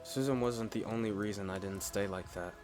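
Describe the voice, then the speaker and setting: A man playing a blunt, steady, reassuring furry character in a scripted ASMR roleplay, speaking in short, deliberate phrases. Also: fairly low pitch, close to an everyday voice. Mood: matter-of-fact, fond. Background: music.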